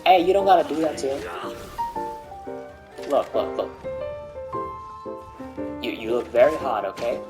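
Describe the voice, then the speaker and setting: A man's voice: medium pitch, lively intonation, unhurried, long pauses, music in the background. A man doing casual gameplay commentary with joking asides, bantering and repeating himself. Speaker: game streamer.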